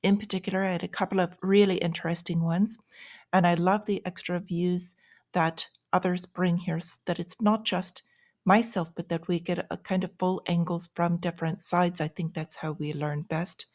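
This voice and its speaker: A woman hosting an informal market news update, explaining things in short, measured phrases with small pauses. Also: on the low side, steady tone, darkish tone. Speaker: host of a daily finance update show.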